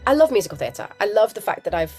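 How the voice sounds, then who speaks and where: Husky-voiced woman with a cold. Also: medium pitch, sing-song, quick pace, mellow, soft background music. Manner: enthusiastic, chatty, laughs often. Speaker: musical theatre actress, guest; parent.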